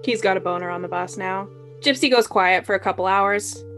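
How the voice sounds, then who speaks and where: Woman narrator, medium pitch, sardonic little asides, faint music behind her. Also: a little fast, fairly bright tone. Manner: wry, sardonic. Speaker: narrator and commentator. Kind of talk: scripted narration with wry asides.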